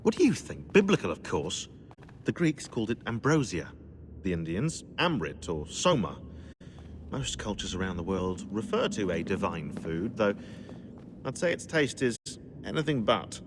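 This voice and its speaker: A witty, scholarly expedition member in a video game. His delivery swings widely in pitch, dry and sardonic, with clear background noise around him. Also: moderate pace. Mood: musing, intrigued. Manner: wry, erudite, animated.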